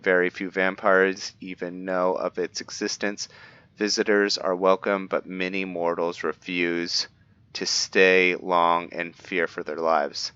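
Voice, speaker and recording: A man's voice: sits low, pushed and bright, clean tone, no room sound, dull audio. A man playing a roleplaying game, reading in-game books aloud and stopping to comment, often stumbling over names and restarting words. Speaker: roleplaying gamer.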